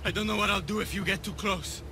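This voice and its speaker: A man playing a troubled, vulnerable young Athenian war veteran in a video game, speaking haltingly. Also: medium pitch, background noise, natural voice. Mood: panicked. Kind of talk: video game cutscene acting.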